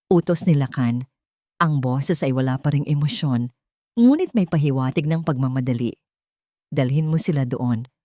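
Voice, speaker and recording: A woman's voice: low-pitched, mellow, dry recording, studio quality. A woman with a Filipino accent reading a scripted audio drama as a dramatic storyteller.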